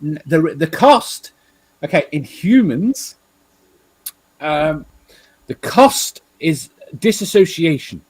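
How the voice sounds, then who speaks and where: English man for casual talk show: husky voice, halting rambling delivery, sweary, close dry sound. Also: fairly high pitch, very animated, a little mellow, no room sound. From England.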